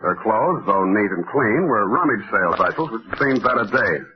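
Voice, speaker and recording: A man's voice: fairly low pitch, lively intonation, moderate pace, lightly acted. Guy playing cocky american gumshoe, old radio show, muffled sound, glib wisecracks, wordy noir narration. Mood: wry narrating. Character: wisecracking hard-boiled male private detective, cocky and flirtatious. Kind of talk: old-time radio detective acting, dictation and narration. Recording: dull lo-fi old radio recording.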